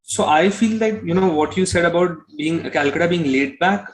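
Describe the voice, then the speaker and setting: A man's voice: a little high, moderate pace, poor audio. Indian man chatting in an interview. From Kolkata, India. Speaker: musician interview guest. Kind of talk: casual conversational interview answers.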